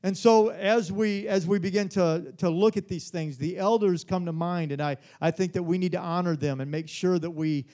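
High-voiced preaching pastor in his forties. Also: moderate pace, hardly any pauses, mellow. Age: 40s. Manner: earnest, repeats words, frequent "Amen?". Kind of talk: Bible study sermon.

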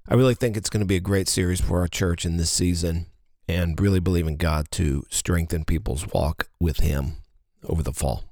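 A man speaking as a pastor in a casual podcast chat, with a deadpan, joking manner. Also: sits low, moderate pace, few pauses, dry recording, clean studio sound.

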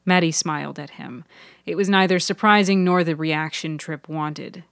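Female narrator for serial fiction podcast, slightly low pitch, dry close recording. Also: normal speed, gravelly, no echo. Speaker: author and narrator.

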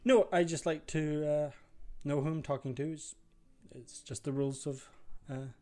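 A man's voice: fairly high pitch, steady tone, normal speed, darkish tone, soft background music, pitched above the performer's own voice, natural voice. A man voicing a tired, disheveled older guard captain who stammers and hesitates while staying politely suspicious. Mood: defensive.

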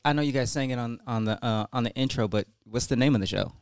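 A man on a casual podcast, cracking deadpan jokes. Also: medium pitch, fast.

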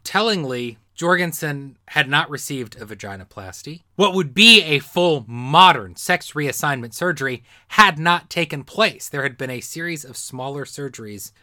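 A man's voice: on the high side, animated, normal speed, few pauses, pushed and bright, no room sound, studio quality. A man presenting a history talk in a semi-improvised storytelling style, explaining with emphatic phrasing.

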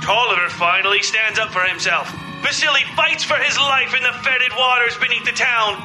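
A woman's voice: on the high side, fairly quick, sharp tone, low-quality recording. A woman reading a dramatic scripted recap as host of a fiction podcast, with music under her voice. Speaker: podcast host introducing an episode.

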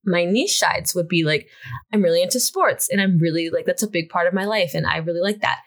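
A woman's voice: lowish voice, a little fast. A young woman co-hosting a podcast, chatting casually and rambling with lots of "like" fillers. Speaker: podcast co-host. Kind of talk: casual chat.

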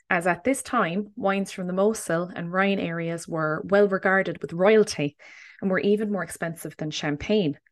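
A woman, in her thirties, hosting a casual wine podcast and laughing often. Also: fairly low pitch. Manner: laughs often, bubbly and chatty. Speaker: podcast host. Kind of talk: casual wine podcast chat and scripted intro.

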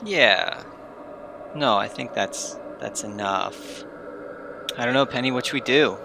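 A man's voice: medium pitch, a little slow, gravelly. A man chatting casually and improvising as a player in a tabletop game, with faint noise behind him. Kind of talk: casual in-character improvised chat.

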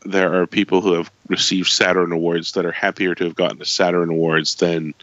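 A man's voice: deep voice, little pitch movement, fairly quick, a bit bright, no echo, lo-fi sound. A man co-hosting a podcast while sick, chatting wryly about everyday life. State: sick, losing his voice. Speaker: podcast co-host. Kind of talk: casual podcast chat.

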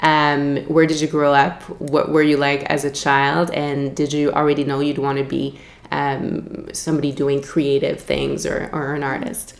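Low-voiced woman podcast host. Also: normal speed, creaky. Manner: warm scripted intro, then relaxed conversational. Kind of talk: interview podcast.

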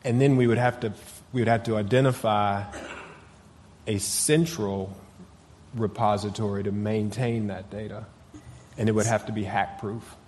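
A man answering council members at a public meeting, measured and deferential. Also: on the low side, fairly even intonation, a little mellow, in a large hall. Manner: measured, deferential, says yes ma'am. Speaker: city official answering council. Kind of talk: formal testimony at a public meeting.

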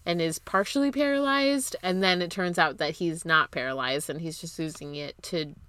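Female co-host, slightly low and bright-edged, for casual, blunt movie talk with offhand swearing. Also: normal speed, clean tone, dry recording. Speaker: podcast co-host. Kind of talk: casual film discussion podcast chat.

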